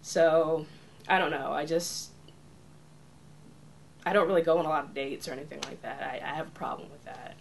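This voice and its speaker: A woman's voice: sits low, steady tone, normal speed, creaky, pauses often, slight background noise. A woman in her twenties introducing herself for a casting tape, chatty and earnest, peppering her talk with "you know" and "like". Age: 20s. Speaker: casting applicant.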